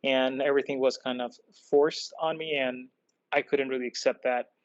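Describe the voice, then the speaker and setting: A man from Iran telling his own story as a podcast guest, in a halting, thoughtful way. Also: medium pitch, steady tone, dry recording. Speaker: podcast guest, product designer. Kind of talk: personal storytelling in an interview. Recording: dull lo-fi close dry sound.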